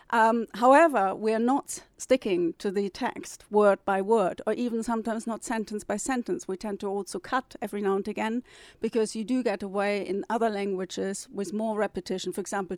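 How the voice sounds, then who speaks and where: A woman's voice: mid-range voice, a little mellow, dry recording. Thoughtful German-born woman, dry sound. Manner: thoughtful, with many restarts and fillers. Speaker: publisher and novelist. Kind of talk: interview answers.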